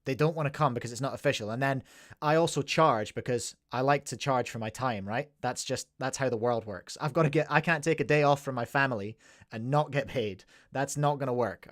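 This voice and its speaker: A man's voice: mid-range voice, no echo, studio quality. A man from Scotland with a Scottish accent, hosting a podcast and talking in a winding, chatty way with asides to listeners. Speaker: podcast host. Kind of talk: casual podcast chat.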